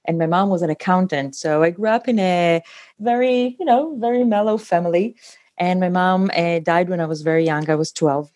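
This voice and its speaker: Earnest woman from Ukraine, low mellow voice. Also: dry recording, studio quality. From Ukraine, raised in Israel. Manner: earnest, halting with fillers. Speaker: guest, entrepreneur. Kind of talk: interview answers.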